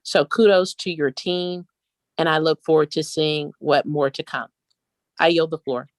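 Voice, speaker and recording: A woman board director giving earnest, polite, measured remarks in a virtual meeting. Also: low-pitched, fairly even intonation, no room sound.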